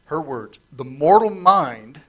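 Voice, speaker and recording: A man's voice: on the high side, lively intonation, slow pace, bright, forceful tone. A middle-aged man preaching a conversational Bible-study sermon in a church sanctuary, speaking at a lectern microphone while his voice is giving out. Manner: conversational, asks the congregation questions. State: losing his voice, coughing. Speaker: pastor. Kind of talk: sermon, verse-by-verse Bible teaching.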